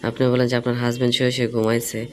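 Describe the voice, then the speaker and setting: A man's voice: mid-range voice, completely flat delivery, very fast. A man in a casual phone conversation, asking probing questions and explaining himself at length. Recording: phone call recording with faint noise.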